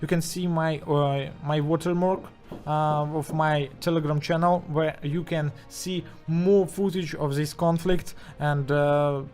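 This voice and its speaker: A man speaking English with a strong Russian accent, commenting haltingly over footage with many fillers and restarts. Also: a little high, steady tone, darkish tone, soft background music. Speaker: channel host.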